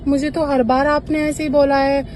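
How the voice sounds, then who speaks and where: High-pitched insistent woman on noisy phone call. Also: flat delivery, moderate pace, a little mellow. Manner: insistent, accusatory. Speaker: actress on a leaked phone call. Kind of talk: heated private phone conversation. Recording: noisy phone call recording, street and vehicle sounds.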